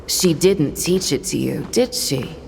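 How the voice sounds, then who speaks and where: A woman's voice: sits low, animated, unhurried, creaky, darkish tone, slight background noise, exaggerated voice. A woman playing an aloof, melancholy woman of authority in a game, restrained and reflective in manner. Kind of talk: dramatic game cutscene acting. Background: music.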